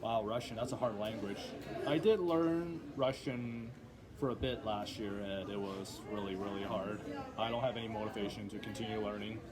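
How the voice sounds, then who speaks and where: A man's voice: fairly low pitch, moderate pace, crowd noise. A young man whose first language is Cantonese, chatting casually as a linguistics student with frequent ums and uhs. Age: young adult. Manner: relaxed, frequent fillers. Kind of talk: casual chat.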